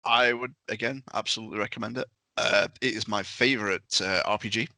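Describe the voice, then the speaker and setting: A man's voice: on the low side, steady tone, fairly quick, vocal fry, sharp tone, no room sound. A man on a podcast panel chatting enthusiastically in a casual review discussion.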